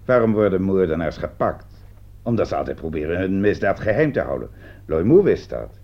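A man's voice: low-pitched, very animated, a little fast. A man acting in a radio play as a shrewd, accusing police commissioner, questioning and reasoning methodically and with emphasis. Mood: confident, emphatic. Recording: dull lo-fi radio recording.

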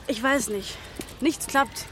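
A frustrated graduate in her twenties speaks in a high voice. She is disillusioned and argumentative, in naturalistic radio drama dialogue recorded with clear background noise. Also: slow pace. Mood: downcast. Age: 20s. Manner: argumentative, questioning. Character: frustrated, disillusioned young pharmacy graduate working in a bar.

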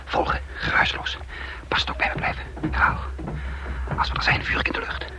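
An actor playing a tense commando leader who narrates a night raid and gives clipped, hushed orders in a radio play. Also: male voice, a little fast, whispered, close to an everyday voice. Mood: tense, commanding.

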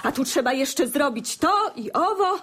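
A woman's voice: high-pitched, animated, a little slow, a bit bright. An actress playing a bustling, fussy middle-aged country wife and mother in a radio play, coaxing in a homely way. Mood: coaxing, fussing. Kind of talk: Polish radio play acting.

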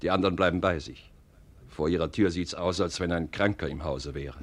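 An actor playing a loyal, coolly ruthless officer in a classical radio play, speaking with deliberate, formal stage diction. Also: male voice, low voice, steady tone. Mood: coolly confident.

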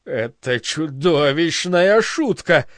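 A stern, pompous male director, as a man acts him out in an audiobook. The voice sits fairly high and speaks with majestic indignation, recorded dry and close. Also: animated, unhurried, no room sound. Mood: outraged.

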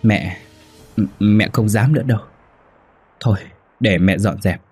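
Timid old mother for an audio drama, played by a man: husky, choked, trembling and submissive. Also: lowish voice, measured pace, a little mellow, faint music behind. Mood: trembling, pleading.

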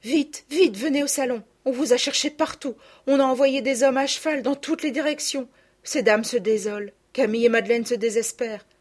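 A woman voicing an anxious, caring household maid in an audiobook, hurried and bustling. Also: mid-range voice, expressive, mellow, breathy edge, pitched above the performer's own voice. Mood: urgent.

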